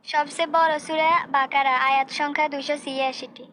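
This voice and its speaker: A woman's voice: high voice, little pitch movement, brisk, fairly bright tone, a little hiss or hum. A woman with a Noakhali Bengali accent voicing a dignified, outspoken young bride-to-be in a comic cartoon. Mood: polite. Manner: polite but firm.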